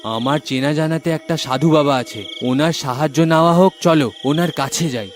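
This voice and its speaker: A man's voice: a little high, moderate pace, soft background music, close to an everyday voice. A man doing a determined young villager. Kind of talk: cartoon dubbing.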